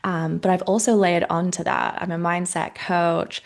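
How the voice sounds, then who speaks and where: A woman in her thirties with a Welsh Canadian accent, speaking to expecting mothers as a coach. Her voice sits fairly low and has a gravelly fry to it. She talks in a soft, encouraging, casual way, full of likes and you knows. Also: little pitch movement, moderate pace. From Wales, raised in Canada. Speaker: motherhood coach and course founder. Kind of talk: casual masterclass talk to the viewer.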